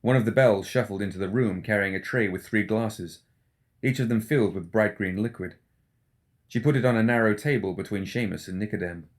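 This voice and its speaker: A man's voice: fairly low pitch, fairly quick. A man reading scripted fiction as an audiobook narrator. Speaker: audiobook reader.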